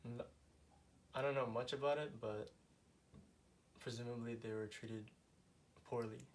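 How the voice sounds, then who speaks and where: A man's voice: mid-range voice, steady tone, a little slow. A man, a student answering interview questions in a hesitant, vague way.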